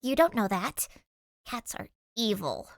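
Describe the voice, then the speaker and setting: A woman's voice: mid-range voice, very animated, unhurried, vocal fry, no room sound, clean studio sound. A woman voicing a skittish, stubborn young girl in an audiobook, indignant and argumentative. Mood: stubborn, wary. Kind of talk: audiobook character voice, fan fiction reading.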